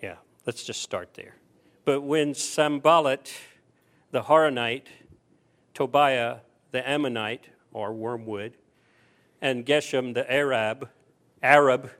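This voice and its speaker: A man's voice: mid-range voice, steady tone, slow, pauses often, fairly bright tone. A man preaching a conversational sermon, putting rhetorical questions to his listeners and repeating phrases for emphasis. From the South (southern US). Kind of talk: sermon with scripture reading.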